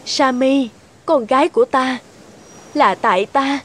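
A woman's voice: sits high, measured pace, fairly bright tone. A woman voicing a regal, motherly sea goddess in a cartoon fairy tale, solemn and lamenting. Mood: regretful.

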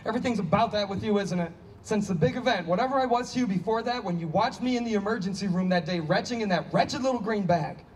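A man's voice: high-pitched, fast, lightly acted. A man in a staged play reading, playing a flippant, needy friend with an evasive charm. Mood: sardonic. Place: outdoor stage. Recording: distant outdoor stage pickup, background noise.

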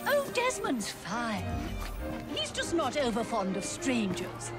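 A genteel Victorian society lady for a game, high-voiced and unhurried, with an upper-class English accent. Her pitch swoops widely as she frets and condescends in a prim, airy way. Loud music plays behind her. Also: huge pitch swings, full character voice. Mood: airy, curious. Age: middle-aged. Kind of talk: video game character acting.

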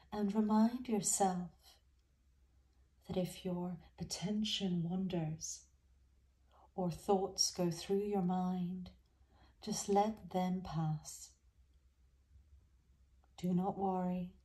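Slow, mellow woman guiding meditation. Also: mid-range voice. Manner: gentle, soothing, unhurried with soft phrase endings. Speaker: mindfulness meditation guide. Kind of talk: guided body scan meditation.

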